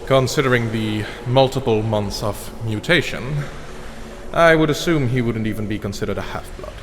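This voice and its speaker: A man's voice: medium pitch, moderate pace, natural voice. A man voicing a cold, scheming vampire researcher who explains things formally and clinically in a scripted fantasy drama. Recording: long reverb, clearly noisy background.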